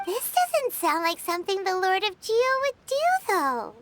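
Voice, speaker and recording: A woman voicing a tiny, candid, childlike companion sprite with a squeaky voice in a video game. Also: very animated, normal speed, very noisy. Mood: doubtful.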